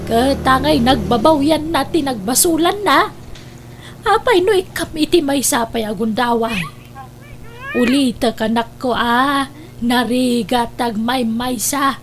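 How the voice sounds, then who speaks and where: A woman's voice: high voice, unhurried, a little hiss or hum. A woman playing an exasperated older mother in a radio drama, scolding and urging her grown son with exclamations. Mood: exasperated, advising. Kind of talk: Ilocano radio drama acting.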